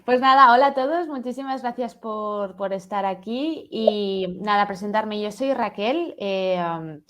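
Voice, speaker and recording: A woman's voice: mid-range voice, measured pace, fairly bright tone. A woman in her thirties from Barcelona, a recruiter introducing herself fluently on an online panel and mixing in English terms. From Spain, Barcelona. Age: 30s. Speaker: talent acquisition manager, guest.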